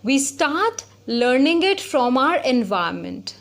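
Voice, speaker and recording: A woman's voice: high-pitched, sing-song, takes it slowly, a bit bright. A woman giving a spoken lesson, explaining step by step and repeating her points. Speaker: English teacher.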